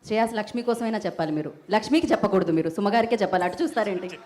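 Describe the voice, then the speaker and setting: Lady anchoring a live event, mid pitched, chatty n teasing. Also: rapid-fire, darkish tone. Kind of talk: playful live stage anchoring. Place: on stage at a live film promotion event.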